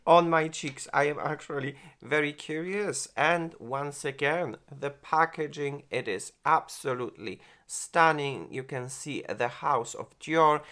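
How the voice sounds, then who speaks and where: A man in his forties hosts a makeup review, gushing over each product. He talks at an unhurried, slow pace in a slightly raised voice, with halting phrases and frequent restarts. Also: on the high side, fairly bright tone. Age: 40s. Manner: gushing, exclaims and laughs often; halting non-native phrasing with many restarts. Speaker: beauty vlogger.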